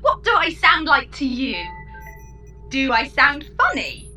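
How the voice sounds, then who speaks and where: A perky, fussy spaceship computer for a comedy sci-fi radio play. The delivery is high, bright and unhurried, with theatrical cheek. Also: female voice, big pitch swings, soft background music, exaggerated voice. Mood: gleeful. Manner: theatrical, fussy, self-amused. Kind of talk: comedy sci-fi audio drama acting.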